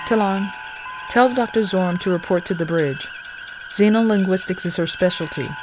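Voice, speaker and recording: An actress playing a decisive starship first officer in a science fiction audio drama. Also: female voice, mid-range voice, normal speed, music in the background, close to an everyday voice. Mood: brisk, commanding.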